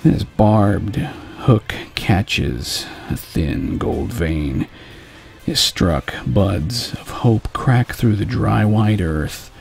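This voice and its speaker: A man with a low, gravelly voice hosting a laid-back late-night show. He rambles and swears casually, and his pitch swings widely even though he talks slowly. Faint music plays behind him. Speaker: podcast host. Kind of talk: rambling late-night chat and reading aloud. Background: faint background music.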